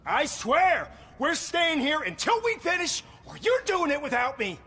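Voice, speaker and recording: A spoiled tv star in a game, really high sharp voice, brash and whiny, swings all over. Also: male voice, sing-song, measured pace, heavily acted. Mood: exasperated. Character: arrogant, petulant male TV commercial star. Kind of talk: video game cutscene acting.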